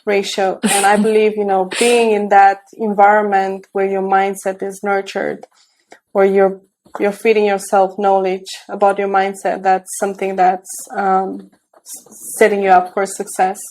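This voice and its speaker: Romanian lady on a video call, warm mellow voice, lots of ums and you knows. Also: medium pitch, completely flat delivery, unhurried, poor audio. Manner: hesitant, many fillers and restarts. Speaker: guest, tech mentor. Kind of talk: casual interview over video call.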